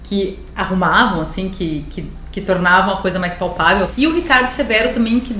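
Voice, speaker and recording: A woman's voice: medium pitch, brisk, sharp tone, roomy sound, a little hiss or hum. A woman professor and co-writer explaining earnestly, in an interview. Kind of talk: interview talk.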